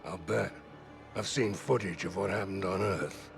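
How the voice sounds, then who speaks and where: An actor playing a gruff, cynical veteran mercenary in a video game, gravel-voiced, blunt and grumbling. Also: male voice, low-pitched, measured pace. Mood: gruff, wry. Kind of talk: cinematic video game acting. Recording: game music underneath.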